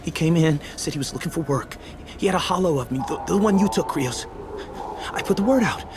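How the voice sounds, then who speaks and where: Game role: young male informant played by an actor, frightened, pleading, stammering, very animated pitch over background noise. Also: a little fast, lightly acted. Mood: nervous, confessing. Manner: jittery, stammering, defensive. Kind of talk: video game voice acting.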